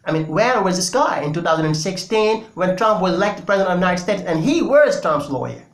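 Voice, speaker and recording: A man's voice: high-pitched, sing-song, fairly quick, echoey room. A Senegalese man whose first language is Wolof, giving emphatic political commentary in English with many fillers. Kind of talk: opinion commentary to camera.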